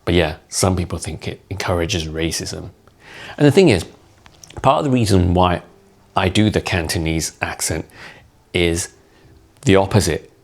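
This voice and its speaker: Brief: low, creaky-voiced creator from Hong Kong, opinionated and instructive, talking straight to camera. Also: male voice, measured pace, pauses often. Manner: blunt, mocking, instructive. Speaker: content creator. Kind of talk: opinionated explainer talk to camera.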